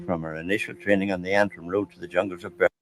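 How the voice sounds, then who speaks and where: A man with a Northern Irish accent, recounting his wartime service in a measured, reminiscing way. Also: low voice, fairly even intonation. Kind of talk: archival veteran narration.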